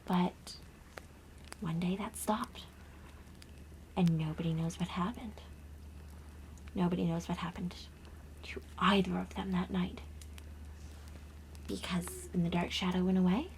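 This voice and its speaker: A woman playing a gentle young girl on a camping trip in an ASMR roleplay, speaking softly and breathily with hushed, dramatic pauses. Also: on the low side, steady tone, slight background noise, rough recording. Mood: hushed, spooky. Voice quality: soft, breathy whisper. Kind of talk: ASMR roleplay, soft whispered storytelling.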